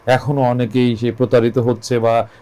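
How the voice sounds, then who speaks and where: A man with a Bangladeshi accent interviewing conversationally as a show host, building his questions deliberately in stages. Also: mid-range voice, level tone, dark tone.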